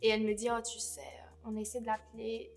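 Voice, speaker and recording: A woman's voice: a little high, completely flat delivery, quick pace. A woman talking casually as a panel guest, explaining things through personal anecdotes. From abroad, came to Quebec as an immigrant. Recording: reverberant room, music underneath.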